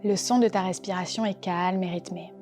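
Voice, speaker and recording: A woman gently and calmly guiding a relaxation meditation as a yoga nidra teacher, unhurried and soothing in her delivery. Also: fairly low pitch. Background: soft background music.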